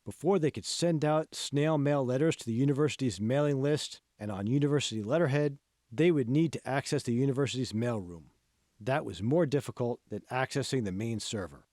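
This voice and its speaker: A man narrates a novel in a scripted audiobook style. His voice sits at a medium pitch, and the recording is dry, with no room sound. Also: a little fast, darkish tone, no room sound. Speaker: author reading own audiobook.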